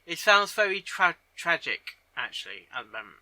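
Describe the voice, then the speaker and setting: A man giving casual reaction commentary on a story, halting and often repeating his phrases as he goes. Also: on the high side, big pitch swings, sharp tone, no room sound. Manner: halting, repeats and rephrases himself. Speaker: reaction video host.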